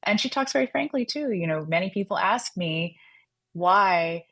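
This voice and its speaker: A woman's voice: mid-range voice, expressive, normal speed, a bit bright. A woman author being interviewed on radio, explaining her subject fluently and adding a questioning "right?" to her points. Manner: fluent and explanatory, often adds a tag "right?". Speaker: author and guest.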